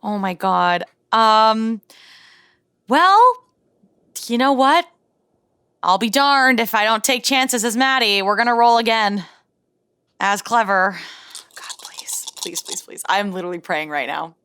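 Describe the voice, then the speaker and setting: A woman's voice: medium pitch, unhurried, pauses often, a bit bright, clear, resonant tone, no echo, clean studio sound. A woman playing in a tabletop role-playing podcast, chatting casually. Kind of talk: casual game chat.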